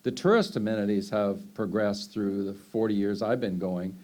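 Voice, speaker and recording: An older man with a fairly low voice answers questions from a live audience. He talks loosely and conversationally, circling back with fillers and restarts. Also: moderate pace, a little mellow. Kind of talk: unscripted Q&A answers.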